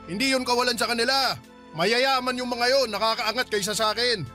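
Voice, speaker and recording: A man's voice: very high-pitched, fairly quick, pushed and bright, soft background music. An actor playing a hot-tempered, self-pitying and bullying Filipino father in a Tagalog radio drama. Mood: defensive, bitter.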